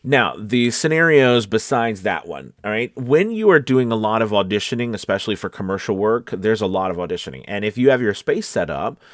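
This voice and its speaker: Low-voiced man, dry studio sound. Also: sing-song, fairly quick, few pauses, no room sound. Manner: conversational, checks in with listeners often. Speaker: voice-over coach and host. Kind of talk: casual talking-to-camera tutorial.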